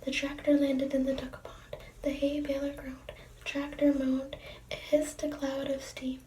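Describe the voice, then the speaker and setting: A young woman reads picture books in a soft ASMR whisper and drops in giggly asides. Her high voice stays flat and even. The mic is far off, and a clear hiss with clicks sits underneath. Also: measured pace, reverberant. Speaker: storybook reader. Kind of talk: whispered ASMR story reading. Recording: distant mic, clear noise.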